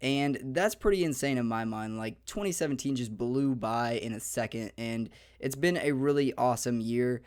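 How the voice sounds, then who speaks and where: Slightly hoarse young man hosting a gaming guide. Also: medium pitch, moderate pace, few pauses. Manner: upbeat, chatty, many fillers. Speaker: gaming channel host. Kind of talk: casual gaming guide talk.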